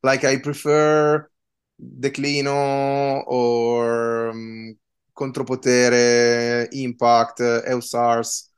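A man's voice: medium pitch, completely flat delivery, very slow, clear, resonant tone. A man with an Italian accent, in his thirties, a punk musician answering interview questions in a hesitant, casual way with many fillers. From Italy.

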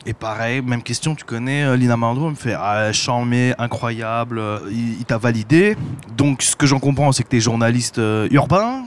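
A man interviewing a guest on a podcast, relaxed and slangy, with short reactions. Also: mid-range voice, moderate pace. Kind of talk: casual interview chat.

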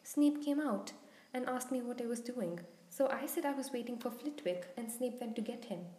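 A woman's voice: a little high, normal speed, mellow, close to an everyday voice. A woman voicing an anxious, loyal schoolgirl, emotional and emphatic, in a storybook reading. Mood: distressed. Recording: roomy, echoing sound.

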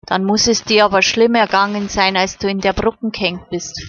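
A woman's voice: medium pitch, fairly even intonation, moderate pace, a bit bright, lightly acted. A woman reading the part of a thoughtful, sympathetic boy. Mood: concerned. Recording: slightly muffled recording.

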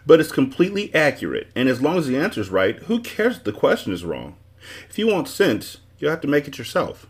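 A man voicing a pompous, excitable storybook creature with many faces, speaking in a precise, scolding, emphatic manner. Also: on the high side, a little fast, voice pushed up.

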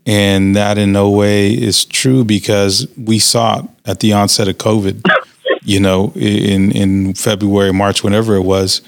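Gravelly low-voiced male interviewer. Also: measured pace, dry recording. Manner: energetic, many "uh" fillers. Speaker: podcast host interviewing coaches. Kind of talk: casual interview.

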